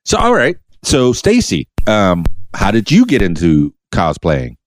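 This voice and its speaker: A man's voice: fairly low pitch, huge pitch swings, measured pace. A male podcast host from Miami, chatting casually and teasing his guests with lots of questions. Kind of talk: casual interview chat.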